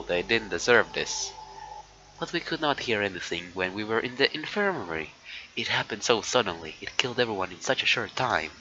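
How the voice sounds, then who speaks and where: Low-voiced male streamer reading on a dull mic. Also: sharp tone, a little hiss or hum. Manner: halting reading broken by muttered asides. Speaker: live streamer. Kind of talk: reading a visual novel aloud with casual reactions.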